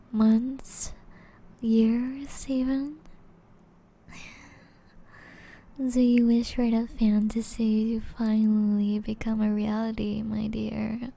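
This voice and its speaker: Slow, breathy, dark-voiced seductive vampire woman acting. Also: on the high side, full character voice. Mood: seductive, teasing. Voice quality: breathy, often dropping into whispers. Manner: slow, teasing, old-fashioned endearments. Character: flirtatious, seductive female vampire, possessive and teasing. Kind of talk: intimate ASMR roleplay.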